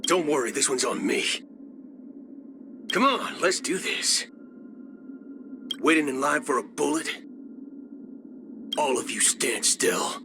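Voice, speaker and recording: Male game gunslinger: cocky cyborg outlaw, slow US drawl, very animated pitch, music underneath. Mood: bold, taunting. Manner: drawling, swaggering, folksy. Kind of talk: video game character voice acting. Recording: music behind the voice.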